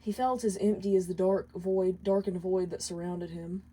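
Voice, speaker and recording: A woman's voice: on the low side, steady tone, normal speed, mellow, breathy edge, poor audio. A woman reading scripted fiction aloud as a storyteller. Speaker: fan fiction reader.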